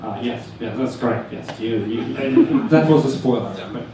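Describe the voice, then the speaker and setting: A man from Ukraine with a Ukrainian accent presents to a live meetup crowd, pausing on fillers and dropping dry jokes. He is picked up from well across a very echoey room, with a faint hum of ambience underneath. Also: medium pitch, expressive, recorded from a distance. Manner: halting, frequent fillers, joking asides. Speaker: meetup speaker.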